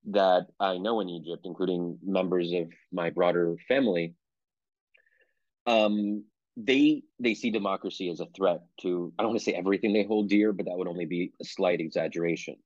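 A man's voice: deep voice, a little mellow, dry recording. A man from Pennsylvania, an author being interviewed, talking in a thoughtful way and often correcting himself. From United States (Pennsylvania). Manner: thoughtful, self-qualifying, frequent restarts. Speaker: author, guest. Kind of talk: conversational interview answer. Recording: dull lo-fi dry sound.

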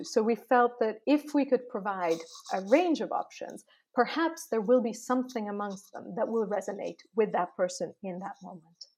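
A woman with a mellow, slightly husky voice describes her research project as an interview guest. She explains it calmly and clearly. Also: mid-range voice, low-quality recording.

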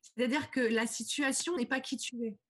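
Woman interviewer, fairly high voice. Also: moderate pace, a bit bright, husky. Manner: friendly, thanks guest, adds short comments. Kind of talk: casual interview.

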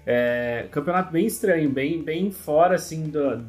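A man from Brazil co-hosting a casual football podcast, relaxed, chiming in and adding comments. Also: on the high side, moderate pace, soft background music. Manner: relaxed, agreeing, adds short comments. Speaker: podcast co-host.

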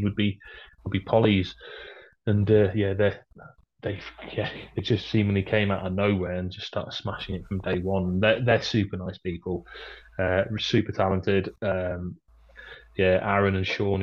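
A man from North Wales with a light Welsh accent, chatting as a podcast guest and often restarting his sentences. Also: on the low side. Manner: rambling, frequent restarts and fillers. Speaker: brewery guest. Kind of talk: casual podcast chat. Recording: dull lo-fi sound.

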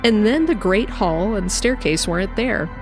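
A woman's voice: mid-range voice, animated, normal speed, lightly acted. A woman playing an anxious wife, over music. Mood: narrating. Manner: earnest, increasingly anxious. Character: frightened young wife narrating her dreams. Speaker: narrator. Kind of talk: 1950s radio drama acting.

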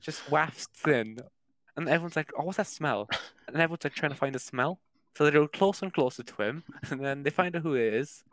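A young British man speaks in a slightly high voice as a podcast co-host. His delivery is casual, like someone swapping stories with friends. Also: brisk, a bit bright, no room sound. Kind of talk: casual chat and storytelling.

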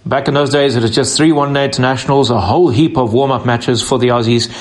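A man's voice: mid-range voice, steady tone, fast. A male interviewer with a mid-range voice who frames his questions conversationally and leads his guest through memories. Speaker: interviewer, host.

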